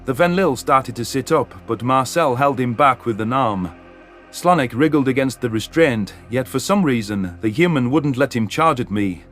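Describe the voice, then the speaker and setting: A man's voice: mid-range voice, expressive, moderate pace, a bit bright, faint music behind. A man reading a scripted first-person science fiction story as a dramatic narration.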